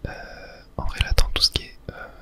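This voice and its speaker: A host whispers casual gaming commentary for ASMR, thinking aloud in a murmur with little filler words. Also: moderate pace. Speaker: host of a gaming video series.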